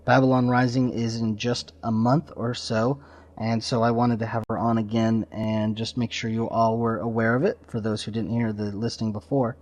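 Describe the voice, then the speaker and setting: A man's voice: medium pitch, level tone, normal speed, a little mellow. A man hosting a podcast, giving casual introductions in an informal, slightly rambling way. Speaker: podcast host. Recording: slightly dull lo-fi audio.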